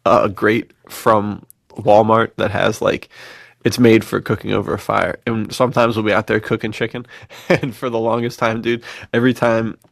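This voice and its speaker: A man with a fairly low voice and plenty of fry, recorded close and dry. He co-hosts a podcast, swapping stories casually with easygoing slang and real enthusiasm. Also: level tone, moderate pace, no echo. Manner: enthusiastic, laid-back slang, frequent chuckling. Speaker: podcast co-host. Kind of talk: casual podcast chat, storytelling.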